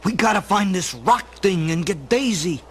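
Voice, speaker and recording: A man playing an earnest, excitable young hero in a radio play. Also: high voice, moderate pace, slight background noise. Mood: urgent, worried.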